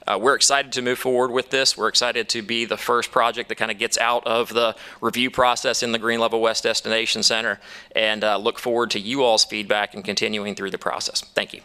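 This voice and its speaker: A man's voice: mid-range voice, level tone, fairly quick, bright, forceful tone, clear, resonant tone. A man speaking as an attorney for a developer at a town council hearing, upbeat and persuasive, with some fillers and restarts. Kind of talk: formal presentation.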